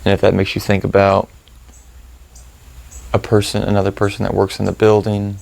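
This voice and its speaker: Man, meditation guide, heavy vocal fry, flat intonation, gentle unhurried instructions, informal phrasing. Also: lowish voice.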